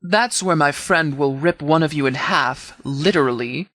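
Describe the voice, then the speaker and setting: A man voicing a stern, authoritative sheriff in a video game, speaking in a measured, commanding, formal way. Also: fairly high pitch, pushed and bright, dry recording. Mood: cold threat. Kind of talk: scripted game dialogue.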